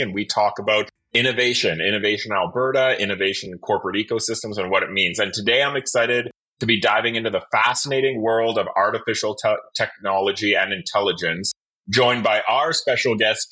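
A man's voice: on the low side, bright, forceful tone, breathy edge, poor audio. A man hosting a podcast interview in a conversational style. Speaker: podcast host.

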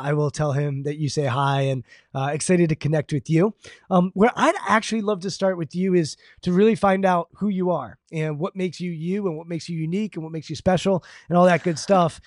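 A man with a mellow, warm tone and a slightly high voice hosts a podcast interview. He sounds upbeat and eager. The recording is dry and close, with no room sound. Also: no room sound. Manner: upbeat, enthusiastic introduction. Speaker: podcast host.

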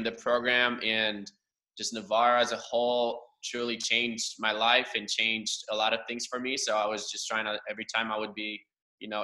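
A young man from Romania, Romanian his first language, talks through his past in a relaxed interview. His voice is strikingly bright and forward, and his answers run long and earnest. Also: lowish voice, rough recording. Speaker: guest, cheer assistant coach. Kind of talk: casual interview over a livestream.

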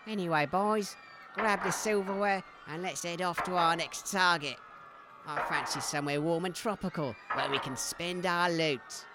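A crooked, foul-mouthed mum, played by a woman speaks. Her voice sits a little low, and clear background noise runs under it in this comedy audio play. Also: moderate pace, close to an everyday voice. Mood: gloating. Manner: blunt, crude, cheerfully sweary. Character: scheming crooked mother of two sons, coarse.

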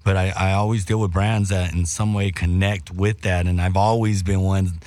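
A middle-aged man with a somewhat low, slightly raspy voice rambles easily through a relaxed conversation, full of slang and restarts. The sound is dry and close, with no room around it. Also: normal speed, no room sound. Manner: laid-back, rambling with many restarts and slang. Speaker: guest, former radio host. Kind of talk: casual chat.

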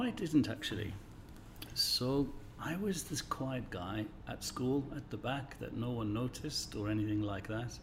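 Man in his 60s telling stories, measured. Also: medium pitch, a little hiss or hum. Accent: neutralised English. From England, Midlands. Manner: friendly, anecdotal, measured. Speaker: guest. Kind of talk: conversational interview storytelling. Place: office.

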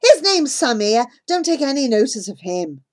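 A woman's voice: high voice, big pitch swings, moderate pace, voice pushed up. A woman voicing a friendly, protective young boy who talks in a reassuring way.

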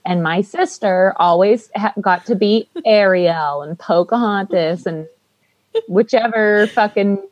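A woman co-hosting a podcast chat, with a low voice and a blunt, casually profane way of talking. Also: takes it slowly, no echo, muffled recording. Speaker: podcast co-host.

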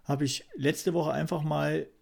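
Deadpan man with a creaky, gravelly voice. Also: on the high side, measured pace. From East Germany. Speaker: comedy podcast co-host. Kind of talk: casual comic banter.

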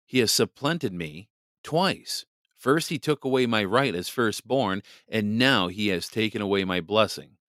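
A man's voice: on the low side, lively intonation, measured pace, fairly bright tone, dry recording, clean studio sound. A man reading the part of a young man cheated of his father's blessing, pleading.

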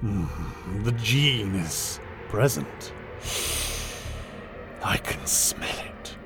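An actor playing a smug, menacing traitor soldier in an audio drama, condescending and deliberate. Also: male voice, on the low side, lively intonation, music in the background. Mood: smug menace.

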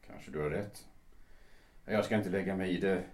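A man's voice: low-pitched, a little mellow, lightly acted. A man acting a composed, insistent lawyer in his late fifties in a period radio drama, formal and paternal. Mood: polite, resigned. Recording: echoey room sound.

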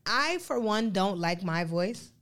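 Young woman podcast cohost, deep-ish voice, chatty and animated, laughs a lot. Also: slow. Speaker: podcast co-host. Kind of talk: casual chat.

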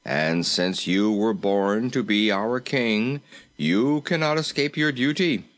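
Man playing a slow, formal, firm royal courtier. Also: fairly low pitch, a bit bright. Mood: respectful. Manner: formal, deferential, insistent. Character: tall, respectful, firm male royal courtier. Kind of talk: storybook character voice.

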